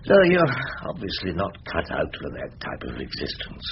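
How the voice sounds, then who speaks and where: An actor playing a sardonic older English barrister in a radio drama, with a dry, ironic, theatrical way of talking. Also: male voice, rumbling low, huge pitch swings, normal speed, lo-fi sound, background noise, full character voice. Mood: teasing. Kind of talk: radio drama acting and narration.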